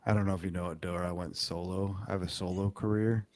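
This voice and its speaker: A man co-hosting a podcast, explaining at length in a rambling, halting way with many restarts. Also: deep voice, steady tone, darkish tone, dry recording. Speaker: podcast co-host. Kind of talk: casual chat.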